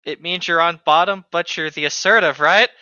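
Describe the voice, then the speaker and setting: A blunt, irreverent man with a bright, forceful and lively voice reads a novel aloud as a podcast co-host, dropping in joking commentary. The recording is dry and slightly dull. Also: on the high side, moderate pace, smooth, dry recording.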